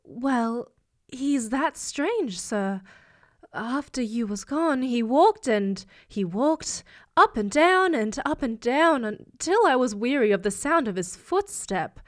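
A woman's voice: on the high side, lively intonation, slow. A woman playing a fretful, deferential working-class Victorian housekeeper in an audio drama, anxious and rambling as she talks. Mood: worried.

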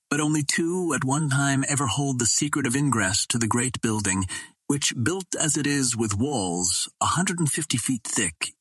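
A man reading a classic adventure novel aloud as scripted narration, in a measured, formal storytelling manner. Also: medium pitch, a bit bright, husky, dry recording. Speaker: audiobook reader.

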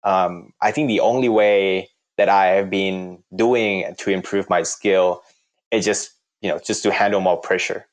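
A young man with a Thai accent, a native Thai speaker, answering interview questions in a halting way with many fillers and restarts. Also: low voice, fairly even intonation. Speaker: interviewee, corporate employee.

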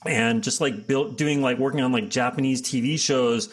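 A man's voice: medium pitch, fairly even intonation, moderate pace. A middle-aged man, telling his career story as an interview guest, full of fillers and restarts. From United States. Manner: rambling, many fillers and restarts, laughs often.

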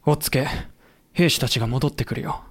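A man acting a loyal deputy leader who narrates a historical war audio drama in a deliberate storytelling delivery. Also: medium pitch, creaky, breathy edge, no room sound. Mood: gentle concern. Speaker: narrator and character.

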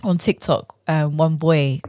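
A young woman with a London accent co-hosting a podcast, telling personal stories in casual, slangy, animated speech. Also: sits low, slow pace, no room sound, studio quality. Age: young adult. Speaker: podcast co-host. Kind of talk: casual chat and personal storytelling.

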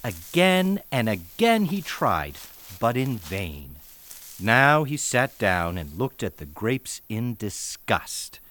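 A man reading fables as scripted storybook narration in a measured, expressive way. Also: fairly low pitch. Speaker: fable reader.

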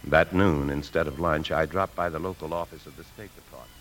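An American actor playing a scheming, secretive married bank man in a 1950s radio drama, brooding and deliberate. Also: very deep voice, steady tone, a bit bright, slight background noise. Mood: brooding, obsessed. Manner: brooding, deliberate, hesitant when lying. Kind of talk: 1950s radio drama acting and confessional narration. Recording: 1950s radio broadcast recording.

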